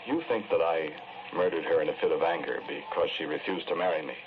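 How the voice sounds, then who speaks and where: Deep, brooding 1940s radio-drama man. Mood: earnest, insistent. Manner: measured, hesitant, brooding, repeats himself. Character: sombre, earnest man confessing to killing the woman he loved. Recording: noisy, muffled 1940s radio recording.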